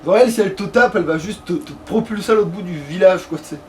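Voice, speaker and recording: A man with a notably high-pitched voice chats casually as he plays a game. He rambles and grumbles in crude, sweary slang, recorded at home over clearly audible background noise. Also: quick pace, darkish tone, recorded from a distance. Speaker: let's play streamer. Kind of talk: casual gameplay commentary chat.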